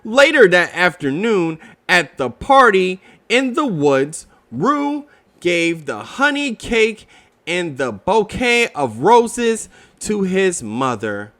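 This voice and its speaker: A man's voice: high voice, very animated, slow pace, a bit bright. A man narrating a children's story as a read-aloud.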